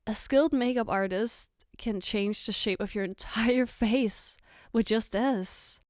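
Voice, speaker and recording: A smooth, fairly high voice from a woman acting as a caring girlfriend in an ASMR roleplay. She takes her time, explaining things patiently with gentle reassurance and a playful, teasing touch. The sound is dry, with hardly any room to it. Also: a little slow, no room sound, lightly acted. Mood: gentle, reassuring. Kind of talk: ASMR roleplay, soft personal attention.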